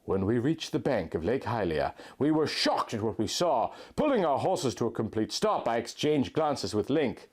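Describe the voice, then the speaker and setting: A man reading fan fiction aloud in a deadpan, overly earnest storybook manner. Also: mid-range voice, normal speed, hardly any pauses. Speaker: comic reader of fan fiction. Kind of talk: mock-dramatic reading aloud.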